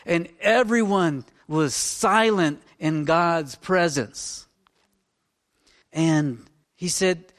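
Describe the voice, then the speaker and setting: A man in his fifties, a minister preaching in a fervent, rambling way that swings between loud exclamations and hushed talk. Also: high-pitched. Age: 50s. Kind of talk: informal sermon.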